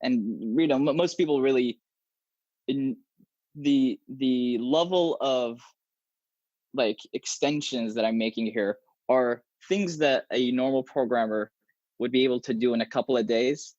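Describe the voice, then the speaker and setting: A young man whose first language is Arabic chats as a guest on a video call, talking with his hands and restarting his phrases as he thinks aloud. His voice sits at an ordinary pitch, and it comes through dry and a little dull over earbuds in a small room. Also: a little slow, no echo. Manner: animated, gestures a lot, many restarts. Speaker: guest software engineer. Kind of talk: casual interview talk.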